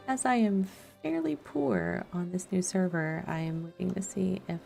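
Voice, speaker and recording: A woman's voice: on the low side, measured pace, gravelly, mellow. A woman game streamer giving casual let's-play commentary, thinking aloud and muttering to herself as she plays. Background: chill background music and game sounds.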